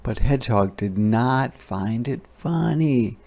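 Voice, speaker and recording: A man narrating a children's picture book aloud in a deliberate, expressive storytelling style. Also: mid-range voice, gravelly. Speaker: story reader.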